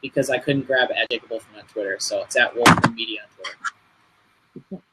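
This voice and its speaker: A man on a group call, explaining social media tips in a relaxed way with fillers. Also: mid-range voice, moderate pace, very noisy. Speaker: guest growth hacker. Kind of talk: casual group video call.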